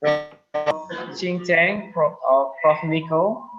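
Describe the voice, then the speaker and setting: A man moderates a webinar in a slightly high voice, slow and hesitant, with "uh" fillers. His sound over the video call is muffled and lo-fi. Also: over music.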